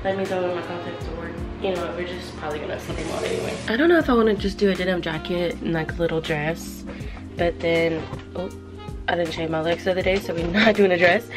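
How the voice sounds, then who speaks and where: A young woman in her twenties chats and rambles to her viewers, speaking close to a handheld camera. Her voice is slightly hoarse from a cold, and soft music plays faintly behind her. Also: lowish voice, fast talker. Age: 20s. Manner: chatty, rambling, talks straight to the viewers. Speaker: vlogger. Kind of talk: casual vlog chat. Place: small bedroom at home.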